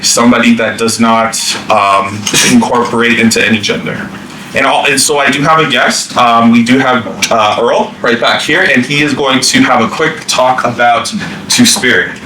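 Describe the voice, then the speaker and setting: Bright-voiced man presenting in an echoey room. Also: medium pitch, steady tone, unhurried. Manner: informal, many fillers and restarts. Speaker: workshop presenter. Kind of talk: staff training presentation. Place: meeting room. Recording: echoey room from a distance, faint hiss.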